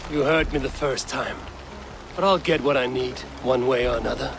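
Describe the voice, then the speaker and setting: An actor playing a determined, blunt Viking warrior in a video game, speaking in a terse, firm, deliberate way. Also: male voice, mid-range voice, steady tone, noisy background, natural voice. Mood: defiant.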